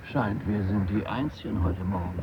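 An actor playing an easygoing, dry-humoured funeral-goer with a matter-of-fact manner in a 1970s German radio drama. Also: male voice, normal speed, lightly acted. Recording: dull recording, very loud rumbling noise.

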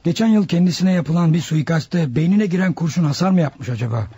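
An actor playing a stern, menacing ringleader of hired killers in a radio drama, with a husky voice. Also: male voice, on the high side, animated, fairly quick, warm tone, full character voice. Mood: commanding, calculating.

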